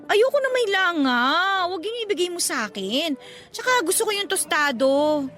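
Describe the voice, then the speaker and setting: A woman's voice: very high-pitched, moderate pace, heavily acted. Sassy gossipy vendor girl, super swoopy voice, teasing banter, radio drama with music under it. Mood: indignant, fussy. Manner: animated, teasing, colloquial banter. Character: sassy, gossipy female cassava vendor, playful love rival. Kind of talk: Tagalog radio drama acting.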